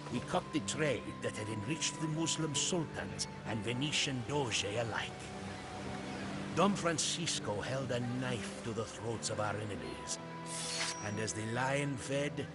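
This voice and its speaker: A man's voice: mid-range voice, close to an everyday voice. A man playing a proud Portuguese seafarer, narrating a strategy game's storybook campaign in a grave, theatrical manner. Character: proud Portuguese seafarer recounting his captain's conquests. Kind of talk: dramatic scripted storybook narration for a strategy game. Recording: studio voice over prominent music.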